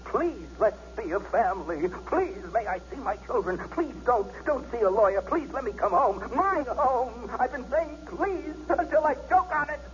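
An actor playing a bitter, vindictive policeman husband in a radio drama, his menacing delivery moving between hushed control and outburst. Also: very high voice, expressive, moderate pace, few pauses. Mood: bitter, anguished. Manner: menacing, swinging from hushed to shouting. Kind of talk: 1970s radio drama acting. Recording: dull, noisy 1970s radio broadcast.

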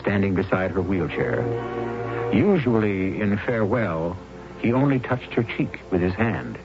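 A man narrating a 1970s radio drama in a measured, solemn manner. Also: on the low side, animated. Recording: dull old radio recording with music.

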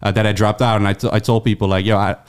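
Dutch guy in his 30s on a podcast, kinda low voice, laid back and rambly. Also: racing, clear, resonant tone. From Netherlands. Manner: relaxed, rambling, many fillers and restarts. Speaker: podcast guest. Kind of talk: casual interview chat.

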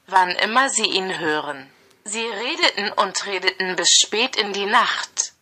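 A computer-generated woman's voice that reads text aloud at a deliberately slow pace. Its tone is bright and even, with the smooth sameness of a speech synthesizer. Every phrase arrives with the same measured rhythm. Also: mid-range voice. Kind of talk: flat machine readout of translated text.

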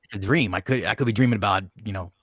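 A man's voice: fairly low pitch, rapid-fire, fairly bright tone, slightly rough, dry recording, clean studio sound. A man co-hosting a podcast in casual chat, rambling and often circling back to repeat himself. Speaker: podcast co-host.

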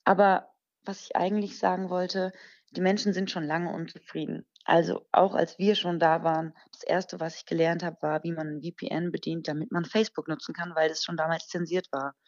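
A woman in her twenties from Germany answers interview questions earnestly, pausing on fillers as she explains. Her voice sits a little low. The sound is dull and lo-fi, like a remote call. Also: little pitch movement. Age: 20s. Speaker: podcast guest, singer.

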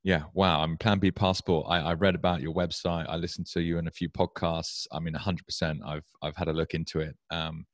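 A man's voice: low voice, level tone, a little fast, hardly any pauses, no echo, studio quality. A man from the UK, in his thirties, hosting a podcast interview in a casual, rambling way with frequent restarts. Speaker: podcast host.

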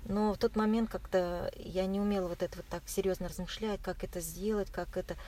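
A woman recounting her experiences as an interview guest in casual, halting speech, often searching for words. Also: medium pitch, steady tone, dark tone. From Russia. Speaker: interview guest, marathon runner. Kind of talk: casual spoken recollection. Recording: clear background noise.

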